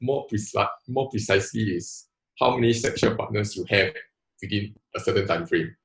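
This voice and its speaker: Earnest Singaporean man, low and roomy. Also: normal speed, a bit bright. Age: 20s. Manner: earnest, thinks aloud with restarts and "lah" particles. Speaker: panel guest. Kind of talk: casual panel discussion.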